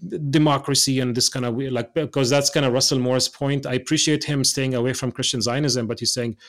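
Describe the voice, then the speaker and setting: A young adult man from the West Bank, speaking as an interview guest in an earnest, passionate way, often restarting and dropping to quiet asides. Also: medium pitch, fairly even intonation, brisk. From West Bank, Palestine. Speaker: podcast guest.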